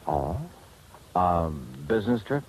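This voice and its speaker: Wry, lively 1950s radio detective. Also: male voice, sits low, slow, exaggerated voice. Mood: dry, guarded. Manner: wry, laconic, clipped. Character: wry, cool insurance investigator, a hard-boiled detective. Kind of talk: 1950s radio detective acting, first-person narration and dialogue. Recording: vintage 1950s radio with music.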